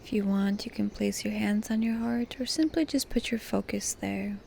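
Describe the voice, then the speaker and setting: A woman hosting a guided meditation in a calm, gentle, soft voice that turns breathy at times, pausing between short phrases. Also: medium pitch, slight background noise. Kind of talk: guided meditation, soft slow soothing delivery.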